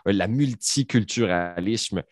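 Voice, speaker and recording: A man in his forties holds forth on a discussion panel. His voice sits low, and he rambles emphatically, circling back through fillers. The sound is close and dry, with no room around it. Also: a little slow, dry recording. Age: 40s.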